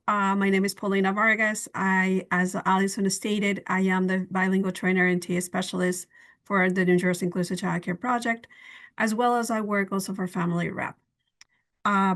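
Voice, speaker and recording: A woman's voice: mid-range voice, fairly bright tone. An earnest woman trainer walks listeners through a topic on a video call webinar. She explains step by step, with a few small slips in her phrasing. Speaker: bilingual trainer. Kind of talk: webinar presentation.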